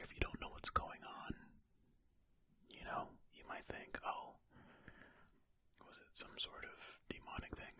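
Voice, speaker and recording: An ASMR host whispering slowly and breathily, reading aloud and adding informal asides, close to the microphone. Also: extremely high pitch. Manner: slow, hushed, informal commentary. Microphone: close-up ear-to-ear ASMR microphone.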